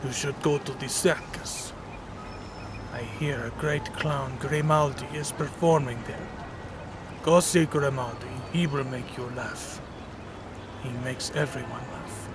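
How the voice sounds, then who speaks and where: A man voicing a melancholy, lovelorn villain in a comic dub, wistful and halting, on a noisy home recording. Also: medium pitch, fairly even intonation, natural voice. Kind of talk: comic dub dramatic acting.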